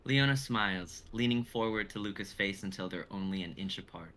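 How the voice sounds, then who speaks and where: A young man narrates a script live in a dramatic style. His voice is low yet bright and forceful, with faint noise behind it. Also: fairly quick. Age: young adult. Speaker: narrator reading stage directions.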